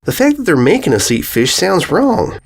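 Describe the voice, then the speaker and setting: Man voicing a high-pitched folksy young country filly. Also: huge pitch swings, moderate pace, vocal fry, full character voice. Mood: matter-of-fact. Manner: folksy drawl, says ain't. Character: young filly pony, earnest country girl.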